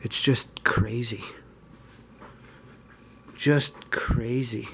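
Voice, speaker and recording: A man's voice: mid-range voice, very slow, in a whisper. A man talking casually and informally as he gives a hands-on demonstration, rambling a little and self-deprecating. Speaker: hobbyist video host. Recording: steady mains hum and room noise. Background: electrical hum and faint static.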